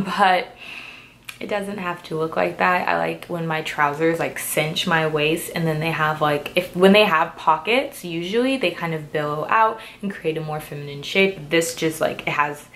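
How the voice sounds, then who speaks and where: A woman's voice: lowish voice, fairly bright tone. A woman in her twenties chatting casually through a clothing try-on haul, a little stuffy from a cold and full of "like" and "um" fillers. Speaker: fashion vlogger.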